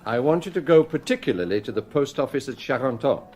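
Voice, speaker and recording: An actor playing a shrewd, genial police chief in a British radio crime drama, brisk, commanding and probing. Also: male voice, mid-range voice, expressive, darkish tone, close to an everyday voice.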